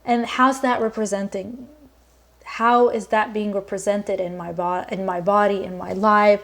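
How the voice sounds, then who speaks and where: A woman's voice: mid-range voice, fairly even intonation, a little slow, vocal fry, a bit bright. A woman from Lebanon talking as a podcast guest in an earnest, reflective way, with many fillers and restarts. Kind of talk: casual conversation.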